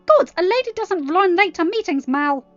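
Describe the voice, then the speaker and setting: Lady doing a prim bossy royal handler, high pitched, huffy n condescending, dry closeup sound. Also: lively intonation, moderate pace, dry recording, dull audio, soft background music. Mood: scornful scolding. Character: snooty, scolding young female royal handler.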